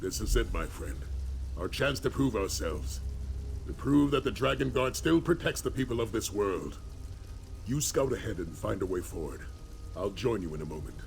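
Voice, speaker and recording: A very low male voice for a grave warrior leader in a fantasy game. He speaks in measured, solemn, rousing phrases, weary yet hopeful. Faint ambient noise sits behind him. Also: lively intonation, creaky. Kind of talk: video game voice acting, dramatic fantasy dialogue.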